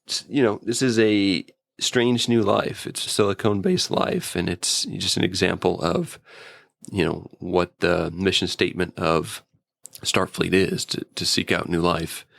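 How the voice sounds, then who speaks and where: A man's voice: low-pitched, fairly even intonation, a little slow, vocal fry, fairly bright tone, dry recording. A man chatting casually as a guest reviewer, with an informal, enthusiastic fan's way of talking. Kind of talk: casual episode review chat.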